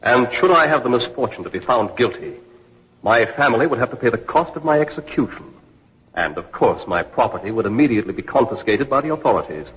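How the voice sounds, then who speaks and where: An actor playing a grave, dignified imprisoned navy captain, formal and bitterly restrained, in an old radio drama. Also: male voice, mid-range voice, animated, brisk, fairly bright tone, breathy edge, in a large hall, low-quality recording. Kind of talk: 1940s radio acting.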